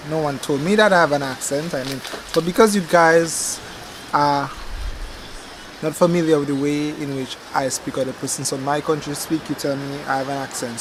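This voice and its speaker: Young man, rambling, self-correcting vlog chat, slightly raised pitch, faint yard ambience behind. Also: background noise. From Dominica. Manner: relaxed and chatty, self-correcting, laughs at his slips. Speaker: gardening channel host. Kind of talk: casual rambling chat to camera. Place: outdoors in a backyard garden. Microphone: camcorder microphone.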